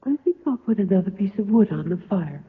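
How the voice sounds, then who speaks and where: A woman's voice: mid-range voice, expressive, fast talker, narrow, tinny audio, lightly acted. An actress playing a coy, vain, teasing female phantom muse in an old-time radio drama, musing and needling with questions. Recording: reverberant, thin old radio broadcast.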